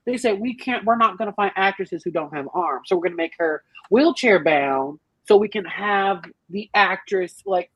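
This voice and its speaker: A woman explaining things emphatically and with salty language in an animated, casual group discussion on a podcast. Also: medium pitch, normal speed, hardly any pauses, slightly rough, no room sound, dull audio. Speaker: podcast panellist.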